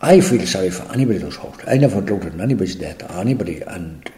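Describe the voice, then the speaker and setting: An older man with an Irish accent, answering interview questions in a halting, reflective way with many restarts. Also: sits low, expressive, mellow, a little hiss or hum. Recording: echoey room.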